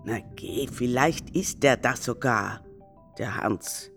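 Deep-voiced, grumbling older mother. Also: slow, gravelly, full character voice. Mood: nosy, suspicious. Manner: curt, nagging. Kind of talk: radio drama dialogue. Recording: loud music under voice.

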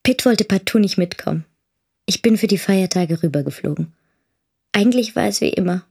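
An actress playing a dryly ironic young daughter, narrating family life in a radio drama in a husky, creaky, matter-of-fact voice. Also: female voice, medium pitch, animated, normal speed, a little mellow. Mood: dryly amused. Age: young adult.

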